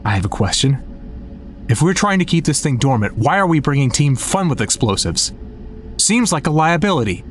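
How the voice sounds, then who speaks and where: Guy acting as impatient young soldier in an audio drama, lively delivery, bit of noise. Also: mid-range voice, moderate pace, lightly acted. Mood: skeptical. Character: impatient hotheaded young male soldier, general's kid.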